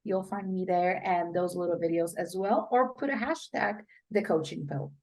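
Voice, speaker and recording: A middle-aged woman from Poland, a brain coach giving an upbeat, expressive podcast interview as the guest. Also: lowish voice, normal speed.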